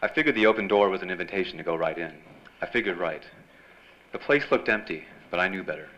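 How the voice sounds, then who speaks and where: An actor playing a wisecracking, hardboiled newspaper reporter in a 1940s-style noir radio drama. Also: male voice, sits low, fast talker, a bit bright. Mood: wry, narrating. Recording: dull, faintly noisy stage recording.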